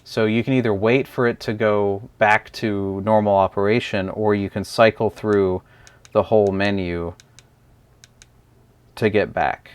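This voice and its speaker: Low-voiced man giving a casual product walkthrough. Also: fairly even intonation, unhurried, clear, resonant tone, no room sound. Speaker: product reviewer, video host. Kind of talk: casual unscripted product review and demonstration.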